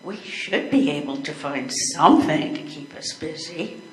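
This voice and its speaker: A woman's voice: sits low, expressive, measured pace, a little hiss or hum. An older woman in amateur readers theater playing a dry, teasing wife on holiday. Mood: wry. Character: wry, long-suffering wife on holiday. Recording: echoey room.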